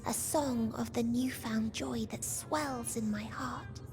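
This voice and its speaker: A woman voicing a gentle, sorrowful otherworldly girl in a video game cutscene, speaking in a soft, breathy, solemn and poetic way over music. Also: on the high side, slow. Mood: gentle hope.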